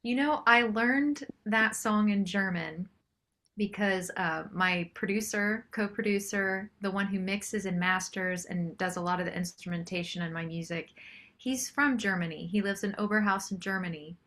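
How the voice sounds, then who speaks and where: A woman's voice: medium pitch, normal speed, fairly bright tone. A woman chatting casually as a singer-songwriter guest in an interview.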